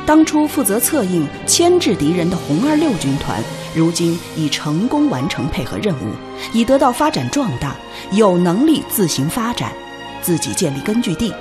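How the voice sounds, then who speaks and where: A female radio drama narrator with a slightly low voice and widely moving intonation. She reads formally and solemnly over clear background music. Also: moderate pace. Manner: formal, solemn, expressive declamation.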